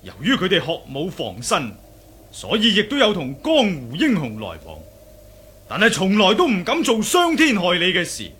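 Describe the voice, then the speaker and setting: A man with a Cantonese accent playing a solemn elderly Shaolin monk elder, formal, pious and measured, in a martial arts radio drama. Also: high-pitched, wildly swooping pitch, a bit bright, a little hiss or hum, exaggerated voice. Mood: grave, earnest.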